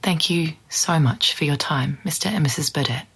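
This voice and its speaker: Female investigative podcaster, lowish husky voice, composed, sympathetic, probing, audio drama. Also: moderate pace, fairly bright tone, exaggerated voice. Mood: gentle, probing. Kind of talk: scripted podcast narration and interviewing.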